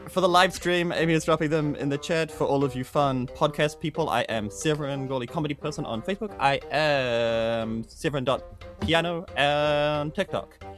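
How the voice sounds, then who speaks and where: A man's voice: a little high, normal speed. A man chatting casually as a podcast cast member, jokey and self-mocking. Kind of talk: casual comic chat. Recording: soft music in the background.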